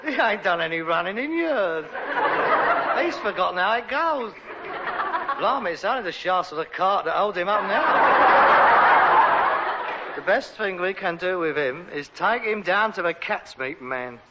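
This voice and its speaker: An actor playing an exasperated, sarcastic adult son with mock-refined airs and a London Cockney accent in a vintage British sitcom. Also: male voice, high voice, expressive, a little slow, long pauses. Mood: gleeful taunting. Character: exasperated, sarcastic adult son with aspirations, rag and bone man. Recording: dull, noisy vintage broadcast recording.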